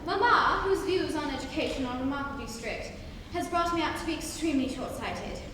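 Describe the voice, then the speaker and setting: A woman's voice: sits high, animated, brisk. A young woman playing a haughty, formal Victorian society lady in a dramatic reading of a stage comedy. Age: young adult. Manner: formal, grand, pointedly polite. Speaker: student reader. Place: large reverberant room. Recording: echoey hall from far off, noisy.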